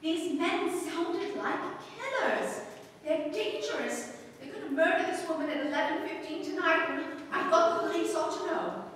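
Echoey, high-voiced, imperious anxious woman. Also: lively intonation, normal speed, a bit bright. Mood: anxious, urgent. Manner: indignant, flustered, stammering, demanding. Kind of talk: old-time radio thriller acting on stage. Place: stage of a small hall, before an audience. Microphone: far camera. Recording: echoey hall from far off, faint noise.

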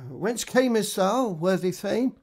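Man as a high-voiced, stately old-time king. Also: lively intonation, takes it slowly, a little mellow, heavily acted. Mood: commanding. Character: stately, gracious Scottish king. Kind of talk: classical radio drama acting.

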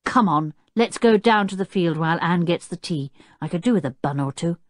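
A woman's voice: lowish voice, lively intonation, fairly quick, dry recording, studio quality, natural voice. A woman voicing a bold tomboyish girl who speaks firmly. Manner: firm, urgent.

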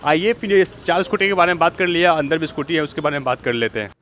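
A young man talks quickly in a slightly raised voice as he tours a used-vehicle lot. He repeats the seller's answers for viewers in an upbeat way, with faint street noise behind him outdoors. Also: fairly high pitch, steady tone, a bit bright. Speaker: vlogger host. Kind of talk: casual walk-through vlog. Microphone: handheld lapel-style mic.

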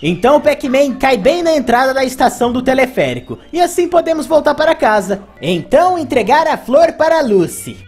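A woman's voice: sits high, very animated, brisk, few pauses, a little mellow, breathy edge. A woman with a Brazilian accent narrating video game play as a reviewer, with music behind. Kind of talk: gameplay commentary narration.

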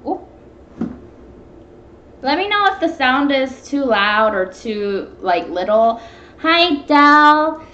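A woman's voice: high-pitched, sing-song, takes it slowly, pauses often, sharp tone, a little hiss or hum. A young woman chatting casually and informally as the host of a live home cooking stream, meandering as she talks. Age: young adult. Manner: informal, meandering, greeting viewers. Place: small home room, indoors at a table. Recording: echoey, dull webcam stream, background music.

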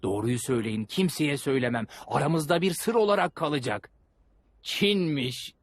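A man's voice: fairly high pitch, lively intonation, moderate pace, dry recording. A man voicing a pompous, incredulous duke in a dubbed cartoon, with theatrical mocking delivery. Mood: scoffing. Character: pompous, scoffing Duke of Venice, incredulous male ruler.

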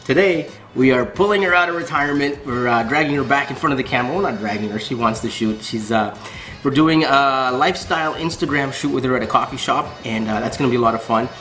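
A man's voice: mid-range voice, a bit bright. A man in his thirties hosting a casual behind-the-scenes vlog, chatting and coaching a model in a small coffee shop. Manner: chatty, upbeat, coaxing a model with quick praise. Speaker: photographer and vlog host. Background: music.

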